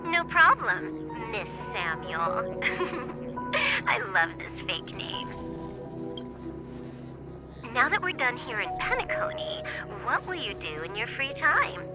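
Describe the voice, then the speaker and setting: A young hacker woman with a higher, swooping voice. She is teasing and nonchalant, like a game character who is never fazed. Music plays behind her. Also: very animated, takes it slowly, long pauses. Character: playful teasing young female hacker, casual and wry. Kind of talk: video game voice acting. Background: background music.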